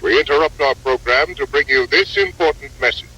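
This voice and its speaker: A man's voice: on the high side, brisk, lightly acted, rough recording. A man playing an urgent television announcer, formally breaking into programming with a scripted bulletin. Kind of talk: scripted broadcast interruption.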